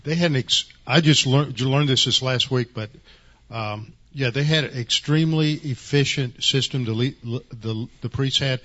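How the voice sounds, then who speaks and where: A man of middling pitch offers an informal explanation in a class. He goes haltingly, restarting and searching for terms. The sound is dull and muffled. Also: a bit bright. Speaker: class participant adding comments.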